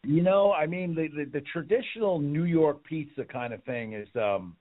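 A man's voice: on the high side, moderate pace. A man telling rambling stories as a guest in a casual interview, often repeating words. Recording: tinny phone-like line.

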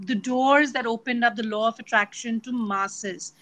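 A woman hosts a relaxed conversation, eager and affirming, her voice bright and a little high. She strings her thoughts together with plenty of fillers. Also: moderate pace. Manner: enthusiastic, affirming, many fillers. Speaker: podcast host. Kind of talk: casual interview chat.

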